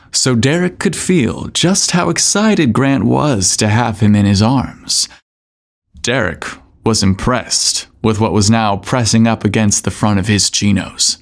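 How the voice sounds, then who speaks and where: A man narrating a scripted story like an audiobook, in a measured, deliberate manner. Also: mid-range voice. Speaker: podcast narrator.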